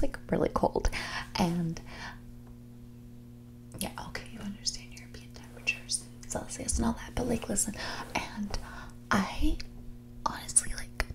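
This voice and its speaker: A woman playing a spoiled, haughty young heiress in a whispered, soft-spoken ASMR roleplay, with a condescending, drawling manner. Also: mid-range voice, gravelly. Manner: snobbish, condescending, drawling, filler-laden valley-girl phrasing.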